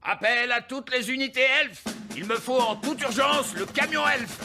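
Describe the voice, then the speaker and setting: An actor playing a bossy, self-important old elf builder in a French cartoon dub, talking in a pompous, emphatic way. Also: male voice, extremely high pitch, normal speed, vocal fry, over music. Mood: commanding.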